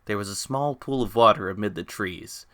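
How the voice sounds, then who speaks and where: Male story narrator for dramatic fan fiction: fairly low, bright and forceful, recorded dry and close. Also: moderate pace, no echo. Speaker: author reading own story.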